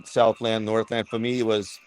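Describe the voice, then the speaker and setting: A man's voice: fairly low pitch, normal speed. A man, a guest on a video call, telling a story and acting out the people in it. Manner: animated, acting out quotes. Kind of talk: casual storytelling.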